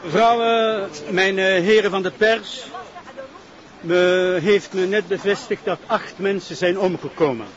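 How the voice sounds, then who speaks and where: Self-important Flemish prosecutor, halting official manner, high register, dull drama soundtrack. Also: male voice, steady tone, over music. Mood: formal, assertive. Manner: hesitant, formal, official. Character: flustered, self-important male public prosecutor.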